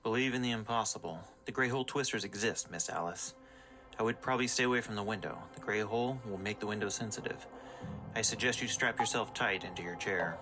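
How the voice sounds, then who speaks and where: A courteous ship's computer voiced by a man speaks formally and matter-of-factly in a radio play. Music plays clearly behind it. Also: on the low side, quick pace, lightly acted. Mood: calm, advisory. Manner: formal, matter-of-fact advice. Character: polite, servile spaceship computer assistant. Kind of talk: radio drama acting.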